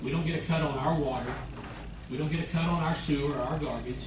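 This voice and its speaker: Loud older man in an echoey council chamber. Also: on the high side, steady tone, fast. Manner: loud, earnest, defensive. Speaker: city council member. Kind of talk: council meeting remarks. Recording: echoey room, far mic, noisy.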